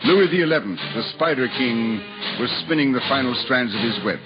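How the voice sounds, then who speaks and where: A man narrating a 1950s radio drama in a measured storyteller's way. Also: medium pitch, animated. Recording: dull old radio broadcast under music.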